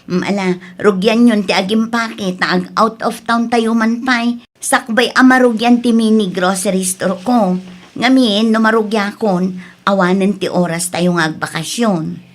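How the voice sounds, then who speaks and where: A woman playing an unhurried, coaxing Filipino woman. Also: mid-range voice, close to an everyday voice. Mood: cheerful, coaxing. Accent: Ilocano Filipino. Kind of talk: radio comedy-drama acting.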